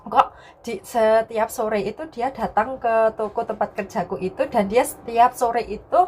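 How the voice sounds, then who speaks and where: A young adult woman from Indonesia telling a personal story to viewers in a casual vlog style, often repeating herself and tagging sentences with a soft filler word. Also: a little high, steady tone, normal speed, slight background noise.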